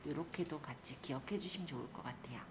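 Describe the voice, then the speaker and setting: A woman instructor giving a polite, step-by-step spreadsheet software tutorial, guiding listeners through each action. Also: low voice, quick pace, slight background noise. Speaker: computer skills instructor.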